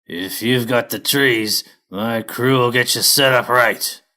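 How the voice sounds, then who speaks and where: Man doing a growling, slow-talking brute pony boss. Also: medium pitch. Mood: gruff, blunt. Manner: slow, loud, blunt delivery. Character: gruff hairy red brute pony, lumber crew boss.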